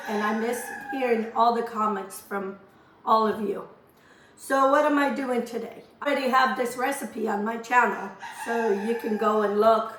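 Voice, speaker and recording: Hoarse, woman host; unhurried, homely kitchen chatter, slightly high voice, recorded a step back from the mic. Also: a bit bright, reverberant, recorded from a distance, a little hiss or hum, low-quality recording. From Azores. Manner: chatty, homely, rambling asides. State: hoarse from a lingering cough. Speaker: cooking channel host. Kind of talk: casual cooking demonstration. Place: home kitchen.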